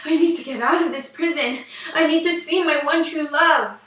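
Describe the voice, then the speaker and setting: Young fairytale princess, played by a woman: melodramatic, pleading, sharp-toned, recorded roomy and distant. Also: sits high, normal speed, voice pushed up. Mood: desperate longing. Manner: pleading, exclaiming. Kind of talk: amateur fairy tale storytelling. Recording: roomy echo, distant microphone.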